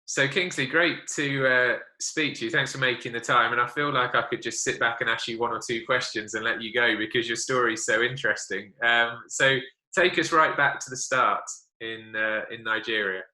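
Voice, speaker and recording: A man's voice: medium pitch, fairly even intonation, moderate pace, bright, forceful tone, roomy sound, poor audio. A man hosting an interview, introducing his guest and asking questions in a friendly presenter manner. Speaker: interviewer, broadcaster.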